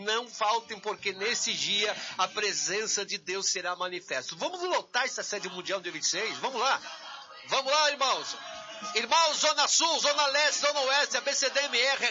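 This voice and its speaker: A Brazilian male pastor makes an emphatic church announcement in a high, very piercing voice. He urges people on, repeating phrases for emphasis. The sound is a little dull, with soft music behind. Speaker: pastor and co-host.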